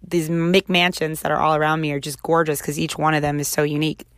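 Low-voiced raspy woman hosting a podcast. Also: little pitch movement, a little fast, no echo, studio quality. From Houston, Texas. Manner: chatty, encouraging, self-reflective. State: raspy, recovering from laryngitis. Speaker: podcast host. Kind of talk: casual solo podcast chat.

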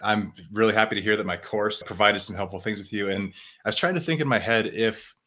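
Calm, bright-voiced male sleep coach. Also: fairly low pitch, brisk. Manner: calm, gentle, easygoing questions. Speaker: sleep coach podcast host. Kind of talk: interview hosting.

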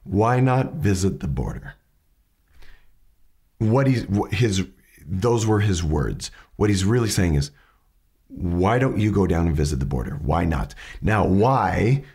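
A man hosting a lesson on asking questions, his voice raspy from hay fever, explaining step by step and often restarting his sentences. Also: fairly low pitch, darkish tone. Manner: methodical, restarts mid-sentence while explaining. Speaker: podcast host teaching questioning.

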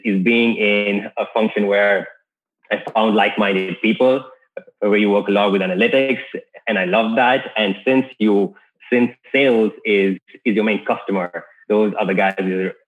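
A man's voice: lowish voice, fairly even intonation, a little slow. A man from Kenya, a podcast guest, telling his career story in an easygoing, casual way with many restarts. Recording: tinny phone-like line.

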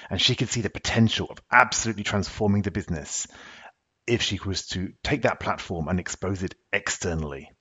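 A man's voice: low-pitched, fairly bright tone, breathy edge, muffled recording. A man hosting a podcast for executives, introducing his guest and interviewing her in an earnest way with frequent fillers. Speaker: podcast host and executive coach.

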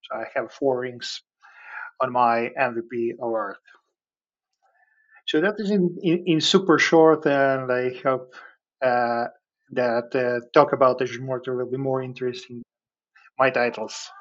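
A man with a Serbian accent talks conversationally, like a guest being interviewed. His pitch sits in the middle for a man. He moves in halting phrases, often pausing on filler sounds, and the audio is slightly dull and lo-fi. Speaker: podcast guest, cloud engineer.

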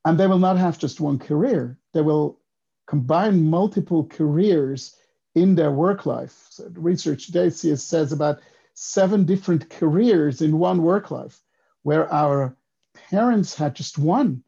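A man in his fifties from Switzerland speaks as a podcast guest, with a somewhat higher voice for a man and a gravelly, husky edge. His tone is dark and mellow, and he tells his story in a reflective, unhurried way. The sound is dull and lo-fi, like a remote call. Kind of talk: casual interview talk.

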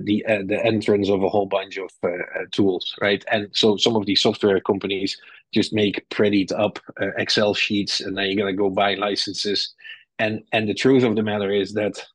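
A man's voice: on the low side, a bit bright, no room sound, low-quality recording. A middle-aged man with a Dutch accent, a marketing executive talking candidly as an interview guest, blunt and rambling with many restarts. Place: lives in Silicon Valley.

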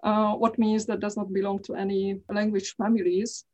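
A middle-aged woman from Hungary with a Hungarian accent, talking as an interview guest in careful, halting English with frequent restarts. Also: mid-range voice, little pitch movement, a little mellow, muffled recording. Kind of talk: casual interview talk.

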